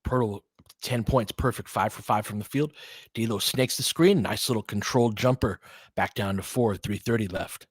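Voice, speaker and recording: A man's voice: on the low side, moderate pace, husky, dry recording. A man giving conversational, partisan basketball play-by-play commentary, reacting openly to the action as it unfolds.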